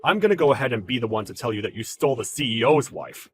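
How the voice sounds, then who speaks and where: A man playing a calm, polite, deferential employee in a dramatized story, speaking in a measured and formal way. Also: mid-range voice, soft background music, voice pushed down, natural voice. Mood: calmly firm. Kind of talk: dramatized story narration with character voices.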